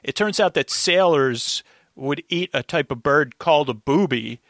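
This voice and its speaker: A man chatting casually as a podcast guest, halting and often interrupting himself, close on the microphone. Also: a little high, expressive, a bit bright, no room sound. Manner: rambling, halting, self-interrupting. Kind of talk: casual recap podcast chat. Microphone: close, dry podcast microphone.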